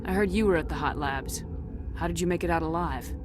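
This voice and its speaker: A woman's voice: fairly low pitch, fairly quick, natural voice. A woman playing a blunt, commanding military agent in a video game, asking direct, no-nonsense questions. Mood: firm, probing. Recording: game music behind the voice. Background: game music.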